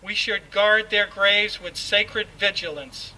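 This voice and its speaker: A man's voice: sits high, steady tone, slow pace, bright, forceful tone. A man reading a formal proclamation aloud in a solemn, oratorical manner. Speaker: ceremonial reader. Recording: distant mic, faint background noise.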